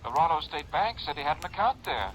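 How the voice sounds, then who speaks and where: An actor playing a bank president on the phone, formal and apologetic. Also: male voice, on the high side, sing-song, a little fast, fairly bright tone, slight background noise, poor audio.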